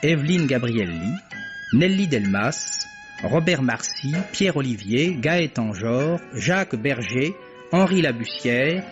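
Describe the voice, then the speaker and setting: A male announcer reads the credits slowly and formally in a fairly high voice. Loud music plays under him. The old radio recording is dull. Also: expressive. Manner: slow, measured.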